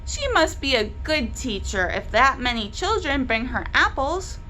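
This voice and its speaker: A woman's voice: high voice, very animated, unhurried, pushed and bright, a little hiss or hum, heavily acted, poor audio. A woman voicing a good-natured, naive man who teaches art, musing in an easygoing way in a children's storybook read-aloud.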